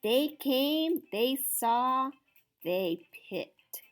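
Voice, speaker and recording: A woman reads a picture book to children in a fairly high voice. Her delivery is expressive and very slow, with deliberate dramatic phrasing, and music plays underneath. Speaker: storytime reader.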